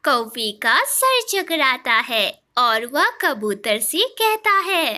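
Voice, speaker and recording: High, bright woman storyteller. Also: sing-song, takes it slowly. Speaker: narrator. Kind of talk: scripted children's story narration.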